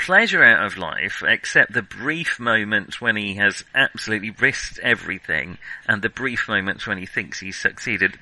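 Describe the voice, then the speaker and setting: A man's voice: lowish voice, sharp tone, no echo, poor audio. A man discussing film in conversation, reflective and hesitant as he thinks aloud. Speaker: podcast host.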